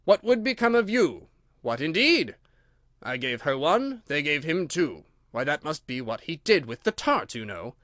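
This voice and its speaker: A man's voice: a little high, very animated, unhurried, a bit bright, no echo, clean studio sound. A man voicing a pompous, self-satisfied playing-card king in a storybook, pondering aloud with great self-importance. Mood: pondering, triumphant.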